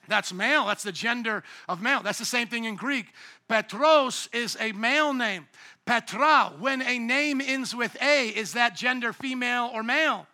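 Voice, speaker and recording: A man's voice: extremely high pitch, normal speed, pushed and bright. A man preaching a sermon as pastor in a church, emphatic and playful, calling on the congregation to repeat words back to him. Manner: emphatic, calls for congregation responses; playful, jokes at himself. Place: church sanctuary.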